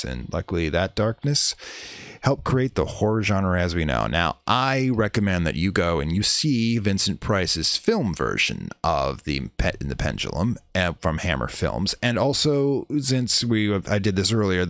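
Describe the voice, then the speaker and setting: A man's voice: low voice, fairly bright tone, no echo. A man hosting a show, giving casual commentary in an outro. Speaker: podcast host.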